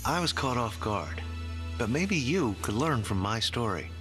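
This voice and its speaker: A man's voice: medium pitch, very animated, unhurried, music in the background. A man in his fifties giving a reflective, regretful testimonial in a commercial. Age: 50s.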